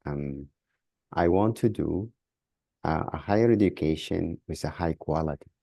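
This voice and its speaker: A man from Sudan with a Sudanese accent, answering as a podcast guest in a halting way, repeating words as he searches for phrasing. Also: sits low, gravelly, warm tone, no room sound, studio quality. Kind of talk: interview answers.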